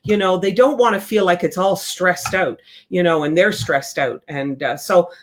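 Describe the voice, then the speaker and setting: A real estate sales trainer giving a relaxed, conversational training talk, coaching listeners and repeating the key points. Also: mid-range voice, normal speed.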